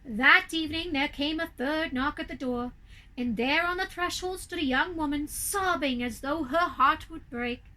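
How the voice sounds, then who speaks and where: A woman storyteller narrating a tale for children in an expressive, theatrical and deliberate way. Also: high-pitched, low-quality recording.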